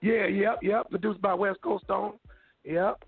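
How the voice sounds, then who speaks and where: A hoarse man talks slangy and relaxed, his voice pitched high with a gravelly, raspy edge. He comes through a dry, tinny phone line. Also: a little slow, faint music behind. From Kansas City, Missouri, USA. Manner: laid-back, slangy, laughs often. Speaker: guest rapper. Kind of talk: casual interview chat.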